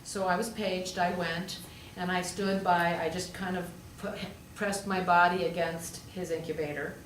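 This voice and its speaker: A woman's voice: fairly low pitch, steady tone, unhurried. Woman preaching in a roomy space. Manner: conversational, with rhetorical questions and emphatic repetition. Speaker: pastor preaching, also a hospital chaplain. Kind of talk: storytelling sermon. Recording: roomy, distant pickup with faint noise.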